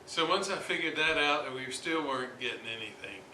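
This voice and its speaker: A man giving an informal hands-on repair demonstration, thinking aloud in a halting way with long pauses. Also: mid-range voice, expressive, pushed and bright. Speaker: hobbyist radio restorer presenting his repair. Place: indoors at a repair workbench. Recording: roomy, noisy amateur workshop recording.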